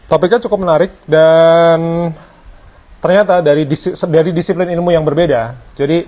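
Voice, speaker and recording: A man's voice: a little high, darkish tone. A man, talking casually to camera as a photographer vlogger, with frequent fillers and English words mixed in. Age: 30s. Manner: conversational, hesitant with fillers, mixes in English words. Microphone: clip-on lavalier.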